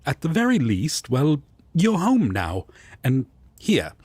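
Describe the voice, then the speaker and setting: A man playing a prim, indignant yet devoted angel roommate in a romantic audio roleplay, with a breathy, husky voice and fussy, formal diction. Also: fairly high pitch, wildly swooping pitch, slow pace, darkish tone, dry recording, full character voice. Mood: tender, solicitous.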